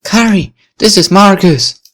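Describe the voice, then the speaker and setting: Man as an eager high-pitched teenage schoolgirl. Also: a little slow, a bit bright. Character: eager, helpful, cheerful teenage schoolgirl, persuasive.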